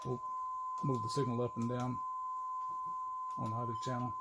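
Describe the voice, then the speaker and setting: A man's voice: mid-range voice, level tone, normal speed, heavy background noise. An older man gives an informal hands-on demonstration in a matter-of-fact, step-by-step way. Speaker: equipment demonstrator. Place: indoor home room full of audio gear. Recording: music playing over the voice. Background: loud music.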